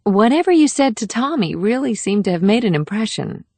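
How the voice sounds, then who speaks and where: A woman's voice: mid-range voice, big pitch swings, a little fast, darkish tone, no room sound. A woman playing a composed, gracious First Lady with a polite, formal manner in audiobook dialogue.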